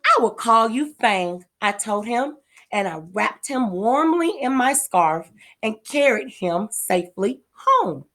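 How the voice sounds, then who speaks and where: An earnest, affectionate little girl from a storybook, as read by a woman. She speaks expressively and wide-eyed, with lively swings of pitch and an unhurried pace. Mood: fond, eager. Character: earnest, affectionate little girl telling of her new pet. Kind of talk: children's storybook read-aloud.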